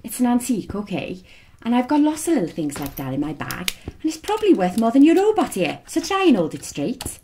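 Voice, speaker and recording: Feisty, very animated archaeologist. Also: female voice, fairly high pitch, a little fast, a little hiss or hum. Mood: matter-of-fact. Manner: feisty, teasing, matter-of-fact. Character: plucky, practical, sharp-tongued female archaeologist. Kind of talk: audio drama acting.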